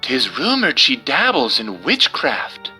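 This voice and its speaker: A man's voice: medium pitch, huge pitch swings, takes it slowly, sharp tone, faint music behind, voice pushed up, full character voice. A man voicing a frightened colonial midwife in a storybook reading, urgent and fretful, with old-fashioned speech. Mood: worried. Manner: stammering, breathless alarm in old-fashioned speech.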